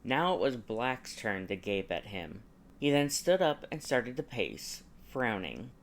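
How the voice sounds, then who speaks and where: A man's voice: mid-range voice, big pitch swings, a little slow. A man narrating a fan fiction story as an audiobook reader, following a character's thoughts.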